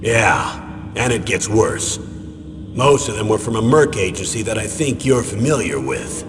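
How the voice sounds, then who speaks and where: A stern, seasoned commander in a dramatic video game. He briefs with measured authority in a fairly low voice. Long reverb surrounds him, and music plays clearly behind. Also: expressive. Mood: serious, explanatory. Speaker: military commander giving a briefing.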